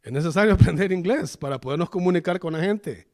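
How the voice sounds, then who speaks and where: A man's voice: sits high, fast talker, a little mellow. A man from El Salvador with a Salvadoran Spanish accent, preaching a chatty sermon in a church and often addressing the listeners as brothers. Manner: chatty, keeps calling the listeners "hermano". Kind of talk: conversational sermon.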